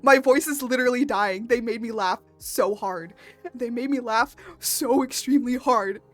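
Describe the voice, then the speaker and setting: A young woman streaming, her voice hoarse from laughing, breaking into giggles as she chats. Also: on the high side, normal speed, faint music behind. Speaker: VTuber artist streamer. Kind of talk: casual live stream chat.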